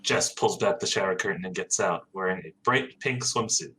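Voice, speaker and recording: A man's voice: sits low, normal speed, pushed and bright. A man narrating stage directions in a plain, matter-of-fact way.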